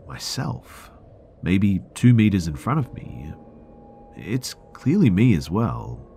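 A man with an extremely deep voice narrates a scary story in a slow, hushed manner, sometimes sinking to a whisper. A faint hiss sits under his voice. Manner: hushed, drawn-out dramatic delivery with whispered words. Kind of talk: scripted horror story narration.

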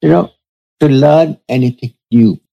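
A man, a spiritual teacher, telling a story from his past in a slow, measured, reflective way during an interview. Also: mid-range voice, creaky, mellow, dull audio. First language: Urdu.

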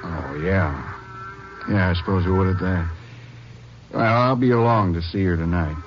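An actor playing an outwardly devoted, anxious rancher husband, folksy and solicitous, in a 1950s radio western. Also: male voice, low voice, normal speed, loud music behind the voice, lightly acted. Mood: worried. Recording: muffled, noisy 1950s radio broadcast.

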